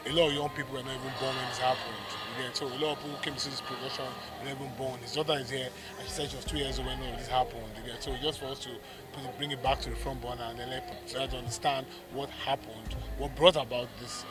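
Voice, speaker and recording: A man with a Nigerian accent giving an informal interview as a theatre producer, emphatic and often repeating himself. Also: medium pitch. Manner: emphatic, repeats words and checks with "you get". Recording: loud music behind the voice.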